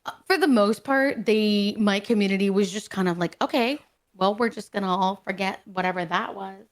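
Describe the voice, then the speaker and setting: A young woman speaks openly as a guest in a casual interview, chatty and frank, filling her sentences with "like." Her pitch sits in a middle range. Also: normal speed. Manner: candid, chatty, uses "like" often. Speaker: streamer guest.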